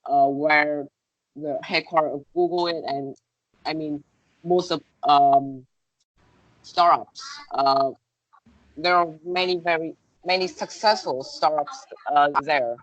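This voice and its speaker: A woman whose first language is Vietnamese answers contest interview questions in English. Her voice sits low and sounds dry and close. She hesitates often, filling gaps with "uh" and "like" as she reaches for words. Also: steady tone, slow, no echo, highs cut off. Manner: hesitant, searching for words, many fillers.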